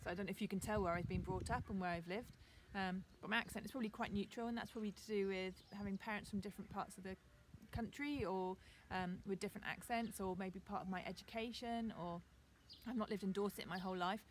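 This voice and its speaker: A woman teacher with a received pronunciation accent gives a chatty, relaxed video lesson outdoors in her garden, with frequent ums. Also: medium pitch, fast talker, a little hiss or hum.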